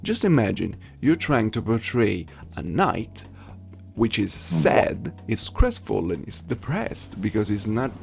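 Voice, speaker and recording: A man's voice: on the low side, sing-song, slow pace, gravelly, a little mellow, faint music behind. A man giving casual, conversational commentary as a video creator, talking informally to his viewers. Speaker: video creator and amateur voice actor. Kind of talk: casual commentary on a game voice-acting challenge.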